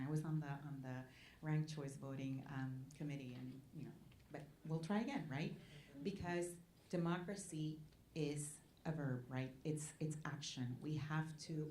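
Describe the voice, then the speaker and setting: Low-voiced woman. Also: warm tone. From Puerto Rico. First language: Spanish. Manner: earnest, often asks the room "right?". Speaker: nonprofit president speaking at a panel. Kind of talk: public talk at a community forum. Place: college event hall. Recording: echoey hall with faint noise.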